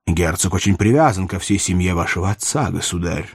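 A man's voice: sits low, lively intonation, slightly rough, no echo, clean studio sound, pitched below the performer's own voice, exaggerated voice. Man playing a composed, courtly royal counsellor. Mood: formal, composed. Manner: measured, courtly, deferential but frank. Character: wise, scrupulous male court counsellor, tactful and composed. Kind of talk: dramatized audiobook reading of a historical novel.

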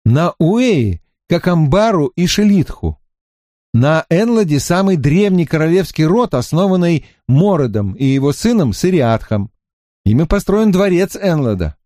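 A dreamy young prince, calm and thoughtful, reciting his words slowly and evenly, as a male narrator puts him on. His voice is warm and mellow, set a little high, and it sits close and dry on the mic. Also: dry recording. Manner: slow, measured, dreamy.

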